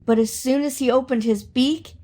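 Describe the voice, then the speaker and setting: A woman reading a children's story aloud in an expressive, dramatic way, drawing out words for emphasis. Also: sits high. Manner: dramatic, with drawn-out emphasis and acted sound words. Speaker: storybook reader.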